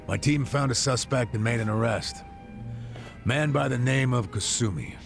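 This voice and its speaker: An actor with an American accent playing a gruff, boisterous, good-humored older ex-cop in a video game, with brash, colloquial delivery. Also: male voice, mid-range voice, normal speed, over music, close to an everyday voice. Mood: frank, reflective. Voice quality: gravelly. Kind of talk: dubbed video game cutscene acting.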